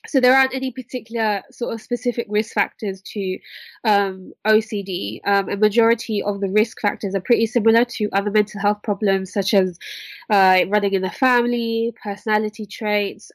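Casting: young woman, mid-pitched, earnest podcast guest explaining conversationally, close dry recording. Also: no echo. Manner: earnest, explanatory, hedging with "sort of". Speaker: podcast guest, mental health worker.

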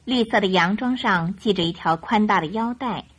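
A woman narrating a radio drama in an expressive storytelling style. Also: medium pitch, normal speed, slightly rough. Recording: music behind the voice.

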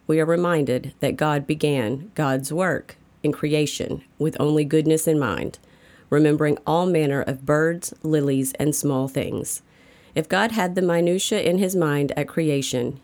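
Low-to-middle voiced woman reading a devotional. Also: a little slow, darkish tone. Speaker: devotional reader.

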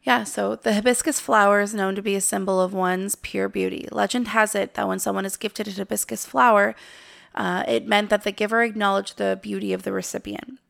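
A woman co-hosting a podcast in casual chat, her voice raspy and hoarse from a cold, talking in a relaxed, slightly halting way. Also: medium pitch. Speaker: podcast co-host.

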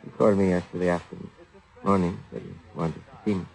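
An actor playing a wounded, bedridden male crook, gravelly, weak and grudging, in an old radio crime drama. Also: low-pitched, fairly even intonation, fast talker, a little mellow. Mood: sullen, weak. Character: sullen wounded male crook in a hospital bed.

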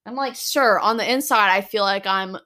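American woman in her 20s, chatty podcast cohost, dry sarcastic asides, middle pitch. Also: normal speed, bright, forceful tone. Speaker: podcast co-host. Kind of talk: casual chat.

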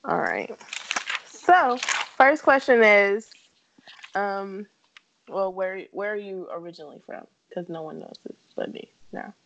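A woman's voice: medium pitch, lively intonation, measured pace, fairly bright tone, muffled recording, slight background noise. A woman hosting a podcast, asking questions in a playful, teasing, upbeat way. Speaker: podcast host. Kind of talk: casual interview chat.

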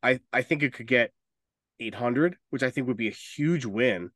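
A man's voice: mid-range voice, normal speed, a bit bright, no echo, clean studio sound. Rambling, opinionated man chatting on a podcast. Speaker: podcast co-host. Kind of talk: casual chat about movies and video games.

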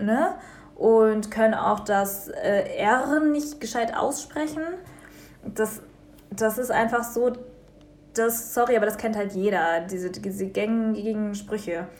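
A young woman from Germany co-hosts a radio talk show in a relaxed, chatty way. She tells everyday stories with energy and acts out the people in them. Her voice sits in a middle pitch. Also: measured pace. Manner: lively storytelling, acts out quoted people. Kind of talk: casual radio chat.

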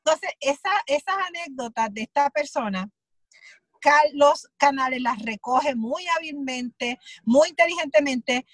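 High-voiced Puerto Rican actress telling stories. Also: female voice, unhurried, no echo. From Puerto Rico. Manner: animated storytelling, acts out her own thoughts in quotes. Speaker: actress, guest. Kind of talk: conversational interview.

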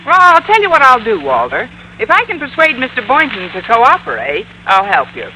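A woman's voice: sits high, very animated, fairly quick, bright, forceful tone, heavily acted. An actress playing a sardonic, wisecracking woman schoolteacher in an old-time radio comedy, with dry delivery. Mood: exasperated, sardonic. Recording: noisy vintage radio recording.

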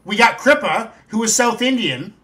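A Canadian podcast host chatting enthusiastically, swearing casually and repeating himself. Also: male voice, high-pitched, expressive, normal speed, sharp tone. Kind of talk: casual chat.

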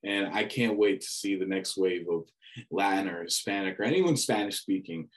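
A young man with a fairly low voice recounts a memorable broadcast in relaxed chat. He talks in an animated way and stumbles over restarts as the memories come back. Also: moderate pace. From Dominican family background. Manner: animated storyteller with many restarts and fillers. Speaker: student radio sports broadcaster. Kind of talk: casual reflective chat.

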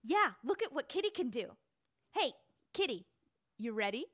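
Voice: sits high, big pitch swings, normal speed, a bit bright, full character voice. A woman playing a goofy, cheeky, whiny kid in sketch comedy. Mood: teasing. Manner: chanting, teasing, whiny protests. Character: goofy cheeky kid Johnny, bossed around by two girls.

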